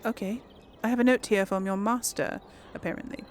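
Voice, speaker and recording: A woman's voice: mid-range voice, fairly even intonation, fairly quick, slight background noise. A woman hosting a casual gaming video, chatting and thinking aloud as she role-plays. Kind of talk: casual role-play game commentary.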